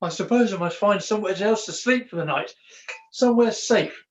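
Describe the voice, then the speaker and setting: A woman's voice: mid-range voice, brisk, lightly acted. A woman playing a hapless, earnest young jester in a pantomime, theatrical and sheepish, on a video call. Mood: forlorn. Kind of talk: pantomime acting. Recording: dull lo-fi video-call recording.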